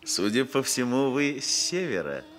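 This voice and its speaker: An actor playing a genial, sly landowner of about sixty in a radio drama, chatty and hesitant with veiled menace. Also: male voice, medium pitch, sing-song, moderate pace, fairly bright tone, faint music behind. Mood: affable, probing. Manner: chatty, hesitant, chuckling, insinuating. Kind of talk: Soviet radio drama acting. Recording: noisy vintage radio drama recording.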